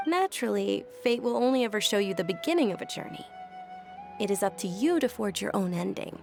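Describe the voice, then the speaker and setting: A woman playing a gentle, polite young noblewoman, earnest and thoughtful, in an anime game with music behind. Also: medium pitch, expressive, fairly quick, gravelly.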